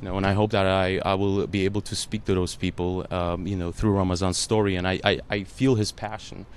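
Man, Russian native speaker, lowish voice, earnest, emotional, filler-heavy, faint background hiss. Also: level tone, brisk. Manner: earnest, emotional, many "you know" fillers. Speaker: actor. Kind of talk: panel interview answer.

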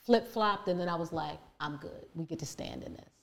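A woman with a naturally deep, low-pitched voice speaks candidly about her own life. She talks emphatically and with conviction, stumbling into restarts and fillers as her thoughts rush ahead. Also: brisk, vocal fry. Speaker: guest. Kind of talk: candid personal storytelling.

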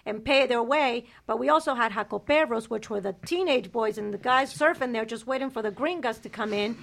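A woman with a high voice talks crude and blunt on a talk show. She is brash and unfiltered when she tells her stories. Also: a little fast, creaky, a bit bright. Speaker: co-host. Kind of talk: raunchy casual chat.

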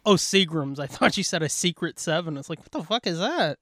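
A man's voice: fairly high pitch, animated, fairly quick, a bit bright. A man co-hosting a podcast in casual comic banter, crude, profane and joking. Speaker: podcast co-host.

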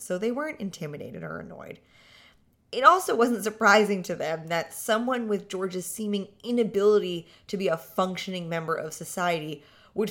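A woman with a slightly low voice narrates a podcast in a dry, sardonic tone. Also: gravelly, a bit bright.

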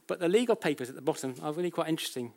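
A man's voice: on the high side, animated, creaky, a little mellow. A British man giving an academic lecture in a conversational, explanatory way, often repeating and correcting himself and posing rhetorical questions. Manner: halting, self-correcting, with frequent repetitions. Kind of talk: public lecture, explanatory and anecdotal.

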